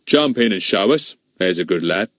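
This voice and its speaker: A man's voice: deep voice, expressive, moderate pace, fairly bright tone, no echo, studio quality. A man voicing a pushy adult male driver in a storybook reading. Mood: coaxing.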